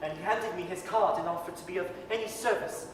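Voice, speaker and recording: A man's voice: high-pitched, close to an everyday voice. Man playing a tender grieving father, distant echoey hall. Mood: grateful wonder. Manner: tender, halting, earnest. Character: kindly, grieving working-class father. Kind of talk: 1930s radio drama staged reading. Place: performing arts center stage. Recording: echoey hall from far off, noisy.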